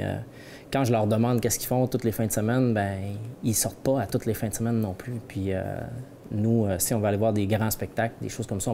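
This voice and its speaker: Relaxed Québécois man, low and mellow. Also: a little hiss or hum. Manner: relaxed, plain-spoken, with many fillers. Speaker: local resident. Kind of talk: casual interview answers.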